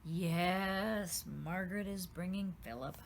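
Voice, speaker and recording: A woman reading a storybook aloud and voicing a patient, slightly weary mother with calm, measured replies. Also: lowish voice, gravelly, a little hiss or hum.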